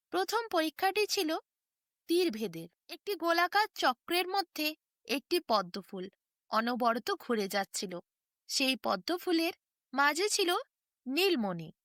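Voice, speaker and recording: A woman's voice: high-pitched, a bit bright, dry recording, clean studio sound. A woman narrating a bedtime fairy tale in a sing-song storytelling manner. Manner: sing-song storytelling, quoting figures in her own voice.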